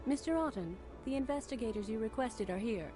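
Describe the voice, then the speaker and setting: Man as stern female assistant, raised pitch, prim, clipped, formal, visual novel character, music playing behind. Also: lively intonation, brisk, dark tone. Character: stern, formal, easily annoyed woman assistant.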